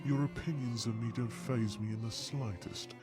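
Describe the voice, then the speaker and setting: A man's voice: mid-range voice, steady tone, moderate pace, lightly acted. A man playing a haughty, condescending dragon noble in an audio drama, speaking in a pompous, mock-polite drawl. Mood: smug, mocking. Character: haughty, condescending regal male dragon, princess's fiancé. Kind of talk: audio roleplay drama acting. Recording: music behind the voice.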